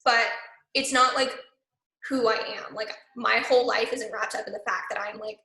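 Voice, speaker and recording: A woman's voice: fairly high pitch, steady tone, moderate pace, vocal fry, sharp tone, echoey room, low-quality recording. A young woman and arts program director who talks casually with many fillers. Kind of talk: casual online talk.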